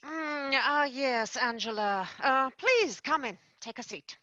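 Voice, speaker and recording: A woman playing a formal, measured manager of tooth fairies, in an amateur radio play. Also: no echo, lo-fi sound, heavily acted. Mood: formal, brisk. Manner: formal, measured, lively pitch. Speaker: manager firing an agent.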